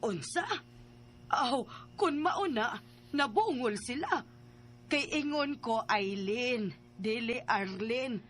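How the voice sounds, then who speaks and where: A woman's voice: on the high side, expressive, slow pace, long pauses. A woman acting an exasperated, insistent older mother with a Cebuano accent in a radio drama.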